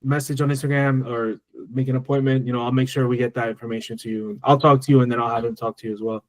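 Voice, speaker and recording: A man's voice: mid-range voice, flat delivery, fast talker, no echo, poor audio. A man giving tax advice in a webinar Q&A, talking loosely with many restarts. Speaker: tax strategist. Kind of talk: webinar Q&A answers. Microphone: video call.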